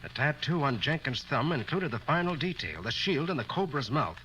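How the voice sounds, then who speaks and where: An actor playing a level-headed private detective who reasons briskly and with command in a 1940s radio crime drama. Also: male voice, on the high side, sing-song, sharp tone, rough recording. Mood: reasoning, assured. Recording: old radio recording, faint noise.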